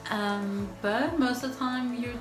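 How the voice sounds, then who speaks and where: A woman with a nasal, congested voice chatting casually on a couple's vlog, measured and careful to qualify what she says. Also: a little high. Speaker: couple vlogger. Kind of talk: casual chat. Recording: echoey room with background music.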